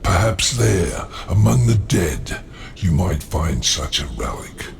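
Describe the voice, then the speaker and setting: Deep, gravelly, ominous advisor. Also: male voice, big pitch swings, a little hiss or hum, full character voice. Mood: ominous, knowing. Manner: measured, ominous, formal. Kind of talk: dramatic video game acting.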